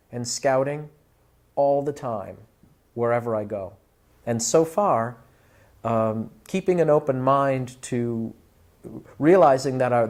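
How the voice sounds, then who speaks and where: A man speaking in a documentary interview as an audiobook director, with measured, deliberate and articulate phrasing. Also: mid-range voice, a little mellow. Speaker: audiobook director and casting scout.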